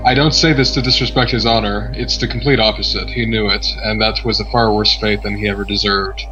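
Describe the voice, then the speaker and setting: A man's voice: on the low side, steady tone, a little fast, natural voice. A man voicing a proud, bitter young nobleman from a poor family, read formally and solemnly from a visual novel. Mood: solemn, resolute. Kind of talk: dramatic reading of visual novel letter. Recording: dull audio, music clearly behind.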